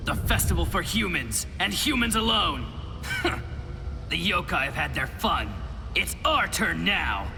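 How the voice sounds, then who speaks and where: An actor voicing a cruel, gloating soldier in a video game, with a sneering, drawn-out delivery. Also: male voice, high-pitched, big pitch swings, gravelly, big-room sound, music in the background, full character voice. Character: cruel, gloating human soldier mocking yokai.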